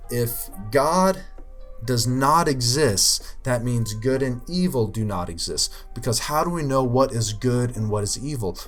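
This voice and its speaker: Earnest young man explaining faith. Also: lowish voice. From Ukraine. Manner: earnest, explanatory, with many fillers. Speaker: youth minister. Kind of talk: scripted apologetics talk.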